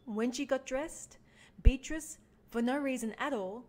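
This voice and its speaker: Slow-paced woman reading a children's storybook. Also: sits high, darkish tone. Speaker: teaching artist reading aloud. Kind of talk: animated children's storybook read-along.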